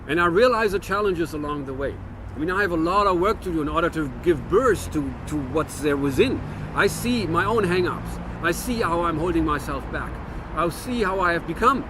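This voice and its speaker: A man's voice: fairly high pitch, animated, normal speed, noisy background. A man in his fifties from Germany with a German-American accent, musing earnestly to camera in a reflective monologue with frequent restarts. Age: 50s. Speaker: vlogger.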